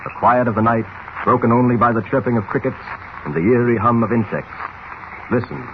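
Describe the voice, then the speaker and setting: An actor playing a solemn announcer, narrating in a dramatic, measured 1940s radio style. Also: male voice, mid-range voice, noisy background, natural voice. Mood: suspenseful. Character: solemn male radio serial announcer setting suspenseful scenes. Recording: muffled old radio recording.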